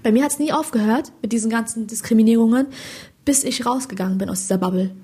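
Husky young Hessian woman, animated and slangy. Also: on the high side, fast talker. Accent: Hessian German. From Hesse, Germany. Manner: animated, slangy, keeps asking "weißt du?". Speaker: stand-up comedian, guest. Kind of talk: casual interview chat.

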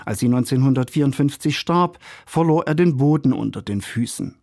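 A man's voice: medium pitch, expressive, normal speed, vocal fry, a little mellow, dry recording, studio quality. A man reading a scripted radio review in formal, literary phrasing. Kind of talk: scripted radio feature narration.

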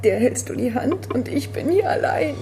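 A woman's voice: high voice, animated, normal speed, mellow, slight background noise. An actress playing a hurt, reproachful yet resolute woman in a radio drama, speaking in a subdued, terse way. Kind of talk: naturalistic radio drama acting.

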